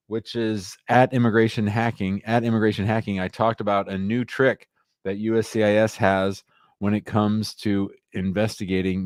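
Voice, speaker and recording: A low-pitched man hosts a live show and talks in a loose, rambling way that often corrects itself. The sound is dry and close, with almost no room echo. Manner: chatty, rambling, self-correcting. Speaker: immigration lawyer, show host. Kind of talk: casual live-stream talk show.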